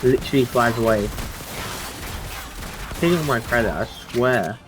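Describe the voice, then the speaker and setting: A man's voice: mid-range voice, expressive, unhurried. A man giving casual gameplay commentary, reacting to the game and reading its dialogue aloud. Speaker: gamer playing and reacting. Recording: game music behind voice.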